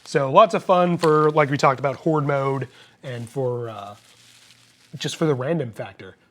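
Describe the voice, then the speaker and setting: A man's voice: medium pitch, measured pace. A man co-hosting a hobby show, explaining game rules in a casual, informal and appreciative way.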